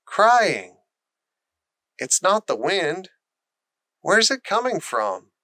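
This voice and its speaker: A man's voice: high-pitched, big pitch swings, extremely slow, bright, forceful tone, no room sound, studio quality, pitched above the performer's own voice, full character voice. A man voicing an earnest, curious young girl with a questioning manner in a storybook. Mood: alert, astonished.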